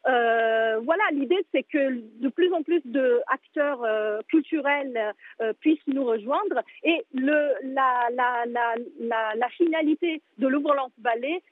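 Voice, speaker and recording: A woman answers radio questions over a thin, tinny phone line. Her voice sits high for a woman. She hesitates constantly, filling gaps and restarting phrases. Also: slow, no room sound. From Italy. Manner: hesitant, many fillers and restarts. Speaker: museum director, guest. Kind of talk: live radio interview answers.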